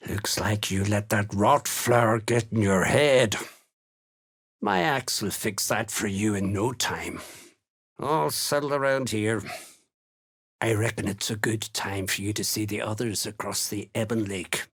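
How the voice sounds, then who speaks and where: An actor playing a surly, menacing dwarf slave hunter in a video game, sneering and blunt with a gravelly, husky voice. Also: male voice, on the low side, measured pace, a bit bright, heavily acted. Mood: surly, sardonic. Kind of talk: video game character voice lines.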